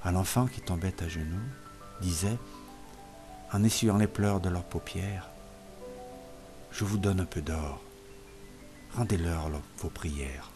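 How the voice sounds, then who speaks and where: A man reciting poetry in a solemn, expressive literary style, in measured phrases that sink softer as lines end. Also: sits low. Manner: measured phrases falling softer at line ends. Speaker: poetry reader. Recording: music playing behind the voice. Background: music.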